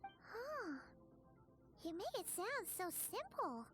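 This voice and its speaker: A woman voicing a small, perky, chatty fairy companion in an anime game, with a squeaky childlike voice and exclamatory delivery. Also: sing-song, takes it slowly, a bit bright, faint music behind, heavily acted. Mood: curious. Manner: refers to self in third person, exclamatory.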